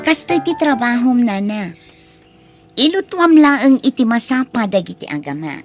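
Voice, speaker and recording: A woman with an Ilocano accent playing a sharp-tongued, headstrong young wife in an audio drama. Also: fairly high pitch, normal speed, soft background music. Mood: bossy. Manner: expressive, complaining.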